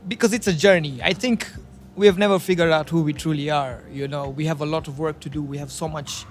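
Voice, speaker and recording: A man's voice: fairly high pitch, steady tone, a little fast, faint music behind. A man from Nairobi with a Kenyan accent, chatting as an interview guest in a laid-back, reflective, slangy way. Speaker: guest, street artist. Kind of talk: casual reflective chat.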